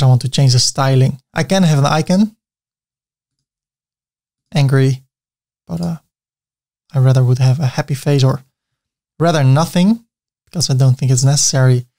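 A man's voice: mid-range voice, darkish tone. A man with a Dutch accent giving a chatty screen-recorded web design tutorial, with self-mocking asides.

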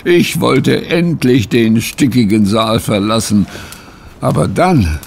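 A man acting in a German audio drama as a bewildered, troubled gentleman, telling his account in a solemn, halting way. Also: medium pitch, expressive, vocal fry, husky, heavily acted. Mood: earnest recounting.

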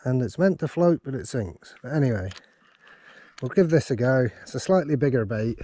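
A man's voice: medium pitch, lively intonation, moderate pace, mellow, no room sound. A man chatting casually and in an understated way outdoors by a river, as an angler presenting his fishing diary. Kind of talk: casual outdoor vlog chat.

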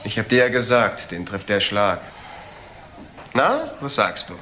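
An actor playing an excitable young man, a troubled gambler's son, emotional and insistent in a crime radio play. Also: lowish voice, measured pace. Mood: uneasy. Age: young adult. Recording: noticeable background noise, old broadcast audio.

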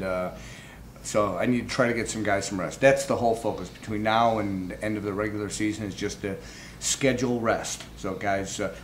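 A middle-aged man answering post-game questions as a baseball manager, informal and halting. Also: lowish voice. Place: indoor press backdrop room. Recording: clearly noisy room background.

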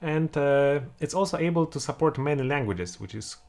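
A man's voice: on the high side, lively intonation, normal speed, a little mellow. A man in his thirties with a Polish accent, talking through a coding tutorial and thinking aloud with many fillers while he types. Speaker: programming tutor. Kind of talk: screen-recorded coding tutorial. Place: home room, indoors.

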